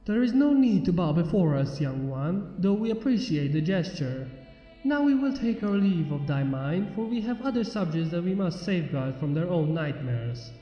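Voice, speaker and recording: A man's voice: sits high, big pitch swings, moderate pace. A man voicing a regal, formal female alicorn pony princess in a storybook, with archaic, stately speech. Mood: gracious, formal. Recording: muffled, echoey long reverb under music.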